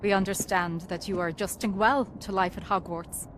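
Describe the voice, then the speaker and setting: An actress playing a composed female school official with a formal, measured manner. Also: medium pitch, steady tone, slight background noise, lightly acted. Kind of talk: video game cutscene acting.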